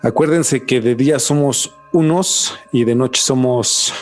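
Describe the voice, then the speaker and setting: A man's voice: mid-range voice, measured pace, soft background music. A man hosting a podcast, moving between scripted presenting and casual chat. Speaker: podcast host.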